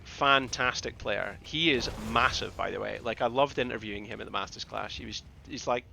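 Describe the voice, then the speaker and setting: A man from the Scottish Highlands with a Scottish accent, casting a game live in a conversational, rambling way and repeating phrases for emphasis. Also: fairly quick, vocal fry, sharp tone, slight background noise. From Scottish Highlands, northeast Scotland. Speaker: esports caster and streamer. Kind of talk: live game commentary with casual asides to chat.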